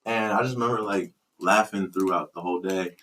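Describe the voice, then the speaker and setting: A young man hosting a podcast, telling stories in lively, slangy casual talk. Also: deep voice, brisk, a crowd in the background, rough recording. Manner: lively slangy storyteller, laughs often. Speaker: podcast host. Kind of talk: casual chat.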